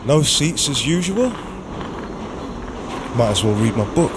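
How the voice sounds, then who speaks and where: A man's voice: medium pitch, sing-song, a little slow, heavy background noise. A man playing a nervous, gossipy commuter muttering furtive thoughts to himself in a radio play. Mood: furtive, anxious. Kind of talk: radio play inner monologue.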